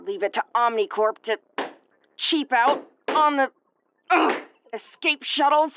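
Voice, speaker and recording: A woman's voice: high-pitched, takes it slowly, highs cut off, noisy background. A woman playing an injured, sarcastic starship first officer in an audio roleplay, with a wry, exasperated tomboyish delivery. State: woozy and battered. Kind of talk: audio roleplay acting, recorded log monologue.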